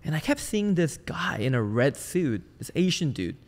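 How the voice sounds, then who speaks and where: A man's voice: on the high side, big pitch swings, normal speed, big-room sound. A man from Malaysia telling his story casually as a podcast guest, in an informal, conversational way. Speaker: podcast guest, sales trainer and entrepreneur. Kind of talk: casual personal storytelling in an interview.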